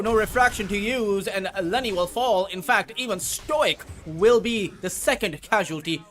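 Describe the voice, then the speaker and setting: A man who speaks Urdu as his first language, casting a match alone, with rambling asides between quick play calls. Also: high voice, fairly bright tone. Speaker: esports caster. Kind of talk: solo play-by-play commentary.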